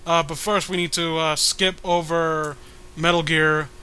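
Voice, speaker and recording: A man's voice: on the high side, little pitch movement, unhurried, bright, forceful tone, a little hiss or hum. A man hosting a podcast, reading a comic aloud with casual, sarcastic commentary. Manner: sarcastic, joking.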